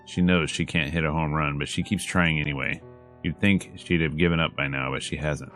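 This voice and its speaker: A man's voice: extremely low pitch, fairly even intonation, brisk, a bit bright. A man streaming a visual novel, reading it aloud with wry, casual and exasperated asides. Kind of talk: let's play commentary and dramatic read-aloud. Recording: music playing behind.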